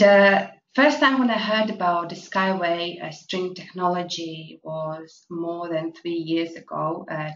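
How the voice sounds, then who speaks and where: A woman from Latvia presenting an investment opportunity, speaking halting full of fillers. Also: lowish voice, fairly bright tone. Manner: halting, many fillers, non-native grammar. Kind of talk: promotional presentation. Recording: echoey, distant, dull lo-fi with faint noise.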